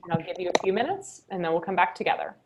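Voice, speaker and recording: A woman's voice: fairly low pitch, lively intonation, racing, noisy background. A woman hosting a journaling workshop over a video call, talking casually with many fillers and "right?" asides. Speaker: workshop host.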